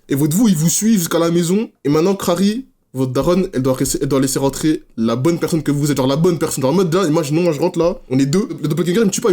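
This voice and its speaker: A man's voice: on the high side, dark tone. A young man hosting a reaction video with slangy, rambling casual commentary, speaking directly to viewers. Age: young adult.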